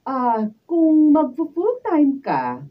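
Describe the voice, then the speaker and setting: An actress with a Filipino accent playing a wealthy, generous philanthropist in a radio drama, gracious and businesslike. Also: female voice, sits high, huge pitch swings, takes it slowly, recorded from a distance, phone-quality sound, a little hiss or hum, exaggerated voice. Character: wealthy, generous female philanthropist offering work.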